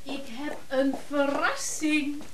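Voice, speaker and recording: An actress playing an eager, high-strung Dutch woman in a radio play, talking in a nervy, insistent way. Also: level tone, takes it slowly, a bit bright, slight background noise. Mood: teasing, excited. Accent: Netherlands Dutch.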